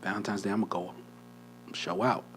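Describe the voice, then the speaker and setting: A man's voice: lowish voice, fairly even intonation, a little fast, a little hiss or hum. A young man co-hosting a podcast, telling a story in a casual chat, often dropping to a near whisper and laughing. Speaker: podcast co-host.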